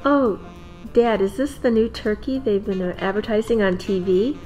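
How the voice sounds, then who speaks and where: A woman's voice: medium pitch, normal speed, close to an everyday voice. Woman playing a reassuring, practical young mom. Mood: cheerful. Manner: reassuring, gently firm. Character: affectionate, practical young mother of small children. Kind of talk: radio play acting. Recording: music behind the voice.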